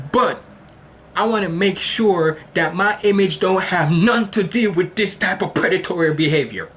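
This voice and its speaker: A man's voice: high voice, fairly bright tone, a little hiss or hum. A man from Jamaica hosting a reaction video, talking casually and rambling with many restarts and slang.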